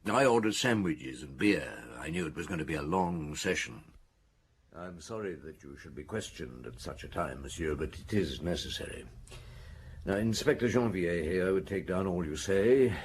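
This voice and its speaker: A man acting in a radio drama as a grave, methodical police chief inspector, speaking in a measured, formal, probing way. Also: low voice, lively intonation, a little hiss or hum. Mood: calm, probing.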